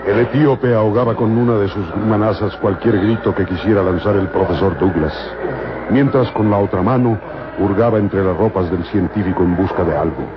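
A man's voice: fairly low pitch, normal speed, background noise, lightly acted. A man narrating a scripted old-time radio adventure serial in a solemn, formal, dramatic way. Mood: dramatic, suspenseful. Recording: muffled old radio recording, loud music behind.

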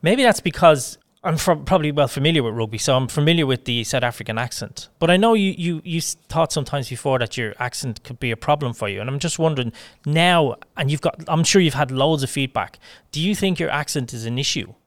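A man's voice: on the high side, animated, fast talker, hardly any pauses, dry recording. A man with an Irish accent, chatting casually as he interviews a guest on a podcast. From Ireland.

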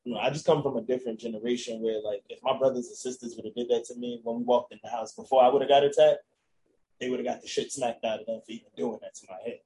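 A man's voice: mid-range voice, fairly even intonation, quick pace, mellow, slight background noise, rough recording. A man on a panel who speaks bluntly and plainly in a casual discussion.

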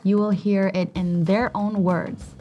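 A woman's voice: mid-range voice, level tone, unhurried, dark tone, lo-fi sound. Woman hosting a podcast over music. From Ethiopia. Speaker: podcast host. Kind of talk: scripted podcast narration. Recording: music under the voice. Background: background music.

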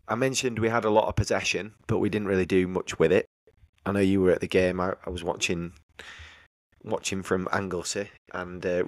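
A man's voice: low-pitched, no echo. A man co-hosting a casual football podcast over a remote call, hesitant and repeating words as he thinks. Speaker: podcast co-host. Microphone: remote call recording.

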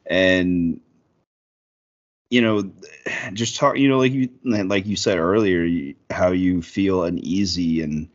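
Thirties man, low voice, loose profane podcast co-host, muffled lo-fi audio. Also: takes it slowly. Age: 30s. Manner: rambling, profane, lots of fillers. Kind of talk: casual podcast chat.

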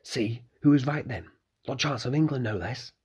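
A man's voice: medium pitch, fairly quick, a little mellow, husky, voice pushed up. A man voicing a shrewd female demon companion who whispers knowingly into a boy's ear.

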